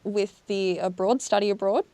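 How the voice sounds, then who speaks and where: A woman's voice: mid-range voice, normal speed, dark tone, dry recording. Enthusiastic young woman hosting. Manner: enthusiastic, with many fillers and restarts. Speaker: host. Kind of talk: casual studio chat.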